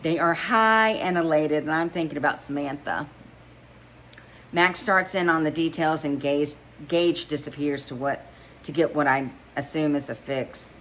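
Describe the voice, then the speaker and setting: A woman's voice: deep voice, measured pace, a bit bright, a little hiss or hum, poor audio. A woman narrating a first-person story as an amateur reader, stumbling now and then and rereading phrases.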